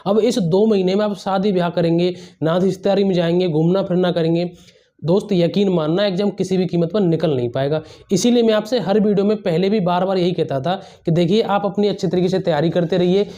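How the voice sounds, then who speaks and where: A man with a high, soft-edged, mellow voice speaks as an exam coach. He is earnest and emphatic, advising students informally and repeating key phrases to drive them home. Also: fairly quick, muffled recording. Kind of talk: motivational advice to students, informal.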